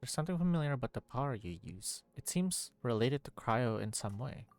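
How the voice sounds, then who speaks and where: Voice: mid-range voice, very animated, fairly quick, darkish tone. A game streamer reacting casually and bluntly to a story, also reading along. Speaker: livestreamer.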